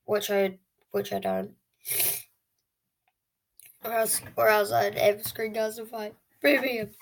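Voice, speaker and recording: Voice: medium pitch, level tone, slow pace, vocal fry. An eleven-year-old child hosting a video channel, telling stories casually to viewers in a dramatic, whiny way. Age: child, eleven years old.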